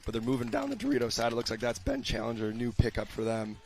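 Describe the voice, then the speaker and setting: A man with a raspy voice doing easygoing color commentary on a paintball match. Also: medium pitch, fairly even intonation, fast talker. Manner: easygoing, laughs often.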